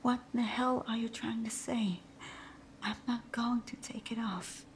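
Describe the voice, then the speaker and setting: Woman acting a slow-spoken, breathy female supervillain. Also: a little high, fairly even intonation, a little hiss or hum. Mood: guarded, flustered. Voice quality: breathy, often whispered. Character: female supervillain thief, teasing bravado hiding a timid, wounded heart. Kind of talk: ASMR roleplay audio drama.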